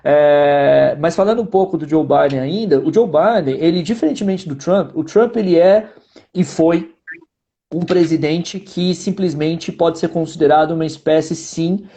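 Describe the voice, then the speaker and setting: A man's voice: fairly high pitch, moderate pace, warm tone. A man with a Brazilian accent giving explanatory political commentary in a didactic way, repeating points for emphasis and often adding tag questions.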